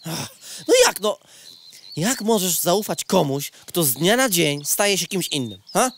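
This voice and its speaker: A man's voice: high voice, lively intonation, measured pace, slight background noise. A man playing a gossipy, casually prejudiced male insect who talks slangily and preachily in a comic audio drama. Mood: earnest preaching.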